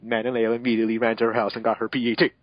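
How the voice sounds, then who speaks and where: A man's voice: lowish voice, monotone, rapid-fire, no room sound. A man narrating fan fiction as a mocking dramatic reading, sarcastic and exasperated, breaking off for asides, on a muffled home recording. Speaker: narrator and reader.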